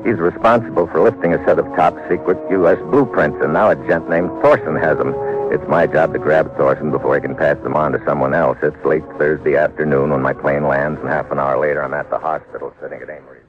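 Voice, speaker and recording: A hard-boiled male government agent in an old radio drama. He talks fast and wry in a deep voice, tossing off tough wisecracks. The broadcast is muffled, with music behind. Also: steady tone. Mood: world-weary narrating. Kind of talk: 1950s radio drama acting and first-person narration.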